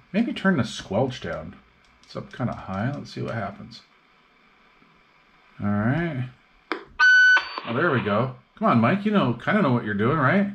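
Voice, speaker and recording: A man talks casually and slangily, thinking aloud as he demonstrates gear at a workbench. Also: mid-range voice. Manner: laid-back, slangy, muttering to himself. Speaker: hobbyist vlogger demonstrating radio repair. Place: indoor radio workbench. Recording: clearly noisy workbench recording with clicks.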